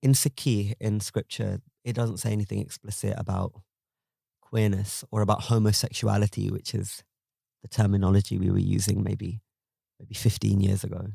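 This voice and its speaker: Guy in his 20s, low flat gravelly voice, dark and dry sound, thoughtful storytelling. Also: dry recording. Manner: thoughtful, wry, measured. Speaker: guest storyteller. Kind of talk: reflective personal storytelling.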